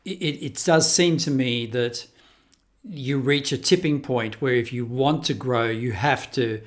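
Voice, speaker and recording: A man's voice: medium pitch, unhurried. A man interviewing a guest in a conversational style, putting questions and remarks to them.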